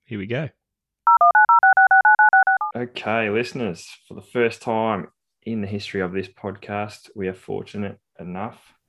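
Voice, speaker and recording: Deep-voiced Australian man hosting a podcast. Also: creaky, no echo. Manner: upbeat, laughs easily, many fillers. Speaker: podcast host. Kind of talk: casual podcast chat.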